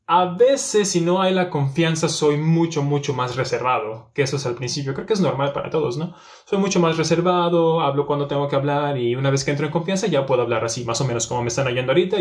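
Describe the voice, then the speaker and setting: A young man with a somewhat high-pitched voice talks straight to the camera in a small indoor room. He speaks casually and reflectively, often filling gaps and restarting sentences as he searches for words. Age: young adult. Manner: thinking aloud with many fillers and restarts. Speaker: language teacher and vlogger. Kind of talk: casual vlog talk to camera.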